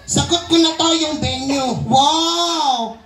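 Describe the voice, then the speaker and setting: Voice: high voice, takes it slowly, a bit bright. A Filipino ventriloquist hosting a children's puppet show with playful live banter. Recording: echoey venue with crowd noise.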